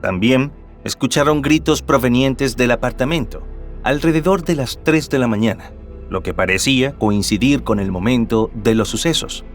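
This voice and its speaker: A man narrating a true-crime documentary from a script in a measured, formal way. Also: medium pitch. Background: background music.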